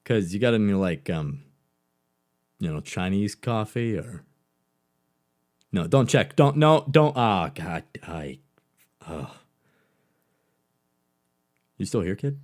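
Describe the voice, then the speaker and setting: An actor playing a jaded, distracted school counselor in a scripted comedy, flippant and halting. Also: male voice, fairly low pitch, expressive, a little mellow. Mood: awkward. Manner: flippant, halting, muttering asides. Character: jaded, distracted male school guidance counselor, careless and awkward.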